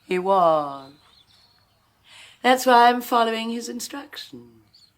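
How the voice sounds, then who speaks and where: A woman's voice: on the high side, expressive. An actress playing a despotic, domineering rich woman who mocks everyone around her in a theatrical, sneering way, in a 1980s radio horror drama. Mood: mocking. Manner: sneering, theatrical, drawn-out mockery. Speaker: Henrietta Forsythe.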